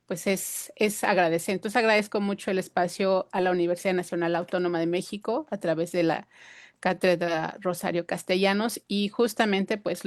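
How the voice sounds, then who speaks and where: A woman whose first language is Purépecha, giving a conference talk and pausing often with fillers and restarts. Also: medium pitch, no room sound, clean studio sound. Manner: hesitant, many fillers and restarts. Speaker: invited speaker.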